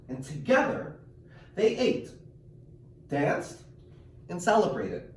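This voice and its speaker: Male storyteller for children's read-aloud, animated and dramatic, heavily reverberant room sound. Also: a little high. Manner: dramatic, drawn-out word emphasis. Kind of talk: expressive children's picture-book read-aloud with asides to parents and kids. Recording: echoey room, distant microphone.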